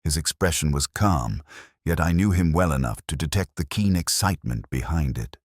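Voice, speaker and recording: A man's voice: rumbling low, expressive, moderate pace, no echo, studio quality. A man reading scripted first-person detective fiction as an audiobook narrator.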